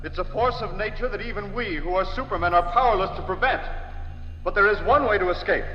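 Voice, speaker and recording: An actor playing a grave, impassioned alien scientist who warns of doom in urgent, declamatory 1940s radio drama style. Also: male voice, high-pitched, fast, lightly acted. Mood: solemn, insistent. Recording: echoey hall on dull 1940s radio recording, music behind.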